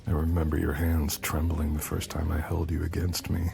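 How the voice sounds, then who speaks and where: Man playing a very deep, gravelly, possessive shadow boyfriend. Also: completely flat delivery, warm tone, heavily acted. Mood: teasing. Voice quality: deep, gravelly, often breathy and whispered. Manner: slow, brooding, teasing intimate delivery. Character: possessive, menacing male shadow-wielding supernatural boyfriend. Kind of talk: romantic ASMR audio roleplay.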